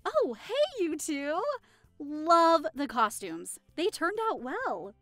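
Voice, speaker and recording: Friendly party hostess in an audio drama, played by a woman, high voice with animated swings. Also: slow, no echo, faint music behind, heavily acted. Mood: cheerful. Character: warm young woman hosting a Halloween costume party. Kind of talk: naturalistic audio drama acting.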